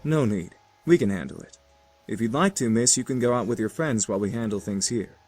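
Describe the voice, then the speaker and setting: A man's voice: fairly low pitch, animated, fairly quick, darkish tone. A man voicing a composed, formal and polite young head manservant in a visual novel game. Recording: game music underneath.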